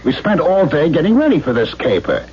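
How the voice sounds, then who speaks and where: An actor playing a brash, fast-talking, cocky carnival schemer who coaxes and bosses in a 1970s radio drama. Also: male voice, on the high side, very animated, a little hiss or hum. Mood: exasperated. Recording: muffled vintage radio broadcast.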